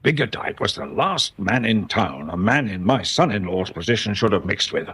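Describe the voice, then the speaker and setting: Radio drama role for an older English councillor: low, husky, pompous and blustering. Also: male voice, sing-song, normal speed, a bit bright, full character voice. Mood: guarded, stiff. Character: stuffy, testy older male town councillor.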